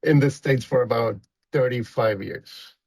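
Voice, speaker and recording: A man's voice: medium pitch, measured pace, creaky, darkish tone, breathy edge, no echo. Man with a Costa Rican accent. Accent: Costa Rican Spanish. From Costa Rica. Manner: rambling, many fillers and restarts. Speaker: guest, company founder. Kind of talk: casual interview chat.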